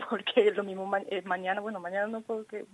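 A woman's voice: medium pitch, little pitch movement, brisk. A woman with a mid-range voice, interviewed by phone. She talks spontaneously and earnestly as a school parent, insisting and repeating herself as she makes her case.

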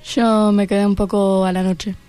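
A woman's voice: mid-range voice, level tone, moderate pace, warm tone. A teenage girl with an Argentine accent from Buenos Aires, answering in a radio interview as a school student.

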